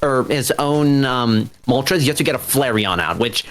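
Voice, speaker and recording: Speedrun racer chatting thru their own play, super lively pitch, bit of game music behind. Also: unhurried. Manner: animated, self-narrating play. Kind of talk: casual live gameplay commentary.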